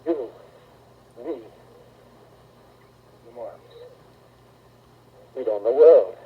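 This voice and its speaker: An actor playing a fanatical, scheming artilleryman in a 1930s radio drama, with rough, emphatic, colloquial speech. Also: medium pitch, expressive, drawn-out words. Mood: fanatical, exultant. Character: fanatical, scheming male artilleryman survivor of invasion. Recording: muffled, scratchy 1930s radio recording.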